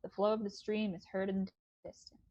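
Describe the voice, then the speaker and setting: A female narrator reads out scene settings for a play. Her voice sits at a medium pitch and her delivery is scripted. The recording is a bit dull and lo-fi. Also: flat delivery, a little fast, dark tone. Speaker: stage-directions reader.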